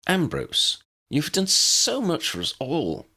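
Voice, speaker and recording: A male narrator puts on an earnest grown witch in a storybook. The voice is lifted above his usual low one, emphatic and very animated. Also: takes it slowly, full character voice. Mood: warm, grateful. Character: earnest, appreciative adult witch.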